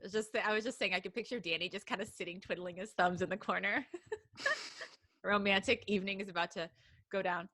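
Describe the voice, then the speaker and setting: A woman's voice: medium pitch, a little fast, bright, forceful tone, no room sound. A woman co-hosting a podcast, reading a story aloud in a casual, playful way and breaking off often for banter. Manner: playful, giggly, digresses from the reading. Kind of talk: casual read-aloud of fan fiction with banter.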